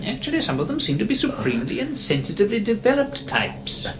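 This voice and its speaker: An actor playing a curious, learned gentleman scientist in a horror radio drama, musing with detached fascination. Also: sits high, sing-song, fast. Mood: fascinated, musing. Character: curious, scholarly gentleman scientist examining ancient bones. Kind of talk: old-time radio horror acting. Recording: clearly noisy radio drama recording.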